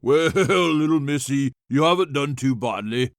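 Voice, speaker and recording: A man voicing a scholarly old gentleman, an enchanted signpost, with folksy, joking, courtly patter for an audiobook. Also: medium pitch, lively intonation, a little slow, no room sound, clean studio sound, pitched above the performer's own voice. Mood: helpful, folksy. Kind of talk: audiobook character voice.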